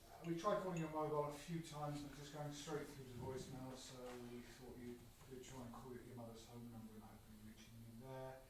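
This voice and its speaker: A mid-pitched British man played by an actor speaks as a polite hospice worker leaving a message. He is hesitant and apologetic. The voice is far off and very echoey, with clear noise. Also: steady tone, a little fast, hardly any pauses, close to an everyday voice. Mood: polite, concerned. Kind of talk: naturalistic answering-machine message acting. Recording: very echoey, distant, noisy message playback.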